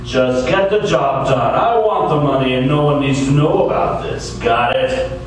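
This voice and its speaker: Man acting a curt threatening mobster boss, unhurried, radio noir parody, distant mic, noisy background. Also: medium pitch, heavy reverb, natural voice. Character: curt, menacing rich crime boss.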